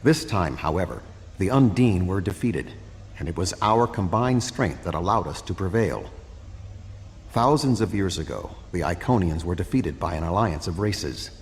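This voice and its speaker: Deep-voiced, composed alien statesman. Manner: measured, formal, stately. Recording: reverberant game audio, faint background hum.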